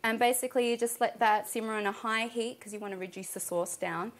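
A woman's voice: fairly high pitch, steady tone, fast. A woman in her thirties with an Australian accent, explaining a family recipe casually as she cooks, with lots of fillers. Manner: chatty, with frequent fillers. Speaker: cooking show contestant. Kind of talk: casual explanation while cooking. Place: home kitchen indoors, later a restaurant kitchen.

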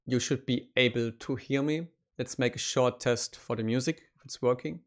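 A man in his thirties speaks English with a clear German accent, chatting loosely on a live stream. He talks in a relaxed, unpolished way with small slips. His voice is close and direct, picked up by a studio mic in a small home studio. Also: medium pitch, normal speed. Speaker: composer streaming his work. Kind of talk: casual live-stream talk. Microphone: studio mic on a stand, headphones on.

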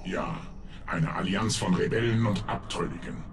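A man playing a menacing dark lord from a video game, speaking sternly and deliberately to his secret apprentice. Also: low-pitched, steady tone, lightly acted. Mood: cold, commanding. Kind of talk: dramatic game dubbing. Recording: echoey, faint background noise.

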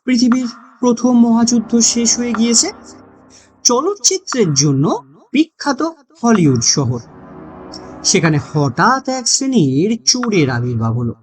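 Horror story narrator, slow n dramatic, really lively pitch, music playing behind.

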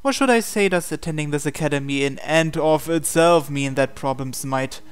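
A man reading visual novel dialogue aloud in character, with a hesitant, halting delivery. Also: on the high side, smooth. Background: game music.

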